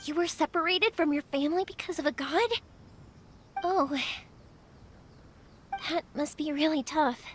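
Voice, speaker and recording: An actress playing a cheerful, warm young woman in a video game, chatty, friendly and expressive. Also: high-pitched, unhurried, long pauses, slight background noise. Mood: gentle, caring. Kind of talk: English video game voice acting.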